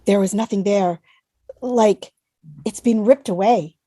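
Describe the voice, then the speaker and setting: Woman as a mellow-voiced, shaken passenger. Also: mid-range voice, unhurried, close to an everyday voice. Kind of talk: fan table read of a TV drama script. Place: online video call.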